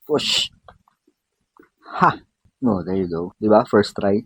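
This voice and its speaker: A man's voice: on the low side, animated, extremely slow, fairly bright tone, husky, no room sound. A man with a Filipino accent giving a casual, informal unboxing demo as a vlogger, mixing in English slang.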